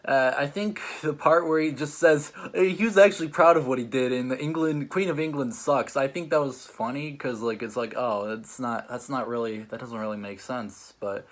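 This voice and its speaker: A man's voice: mid-range voice, moderate pace, hardly any pauses. A man hosting and judging a challenge, chatting casually in a rambling, hesitant way with lots of "like". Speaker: host and judge of a challenge show. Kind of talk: casual chat, reviewing stories.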